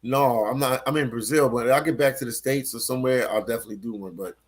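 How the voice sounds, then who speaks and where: A man's voice: mid-range voice, fast talker, crowd noise. A man joining a live stream as a guest over a video call, laid-back and agreeable, often answering with short affirmations. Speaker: guest YouTuber. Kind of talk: casual livestream chat. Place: abroad, remote call.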